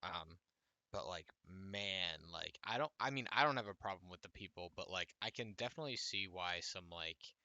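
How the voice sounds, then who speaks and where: A man co-hosting a casual podcast, talking haltingly and repeating his words as he starts sentences. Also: sits low, pushed and bright, no room sound, dull audio. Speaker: podcast co-host.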